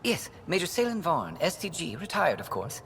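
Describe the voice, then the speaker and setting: A man's voice: fairly high pitch, huge pitch swings, normal speed, a bit bright, a little hiss or hum, exaggerated voice. A man playing a wry, shrewd retired alien special-forces major in a video game, speaking with dry, frank irony. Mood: dryly wry. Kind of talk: video game character dialogue.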